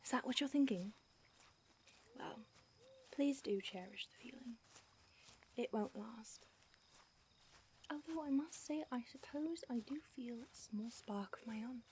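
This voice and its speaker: A prickly centuries-old vampire woman, whispered by a female performer with a fairly high, breathy voice and dry, sardonic teasing. The whisper stays close and hushed. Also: measured pace, pauses often, slight background noise, natural voice. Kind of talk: whispered audio roleplay.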